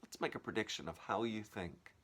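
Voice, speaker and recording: A man's voice: on the low side, fairly quick, fairly bright tone. A man reading a storybook aloud like a teacher, expressively and with dramatic, varied emphasis.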